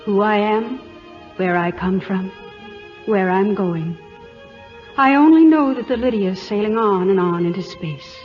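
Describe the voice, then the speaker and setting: A gracious English noblewoman played by an actress speaks in a mid-pitched, refined voice. She is poised, romantic and open-hearted. The sound is a dull old radio broadcast with faint music underneath. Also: lively intonation, a little slow, long pauses, lightly acted. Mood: romantic, yearning. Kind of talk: old-time radio drama acting.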